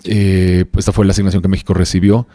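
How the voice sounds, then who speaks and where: A Mexican man co-hosting a podcast, talking informally and haltingly as he shares facts and checks for agreement. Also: low-pitched, little pitch movement, warm tone, no echo, clean studio sound. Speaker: podcast co-host. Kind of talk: casual chat, loosely reading out researched facts.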